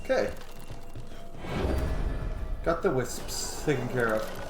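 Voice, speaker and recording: A man talks through a game in an informal, think-aloud way. His mid-pitched voice sits in an echoey room, with game music clearly behind it. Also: lively intonation, slow. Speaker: gaming video host. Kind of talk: casual game playthrough commentary.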